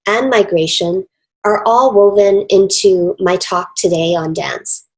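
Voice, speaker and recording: North american woman reading out an academic lecture, formal n deliberate, medium pitched voice. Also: darkish tone, low-quality recording. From Canada and the United States. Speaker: academic speaker, author and dance researcher.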